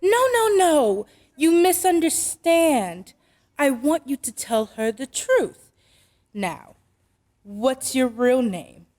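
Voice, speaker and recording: An actress playing a brisk, skeptical working mother in an old-time radio drama, speaking firmly and properly. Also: sits high, very animated, a little mellow, long reverb tail, full character voice. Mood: firm, earnest. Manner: firm, deliberate, proper.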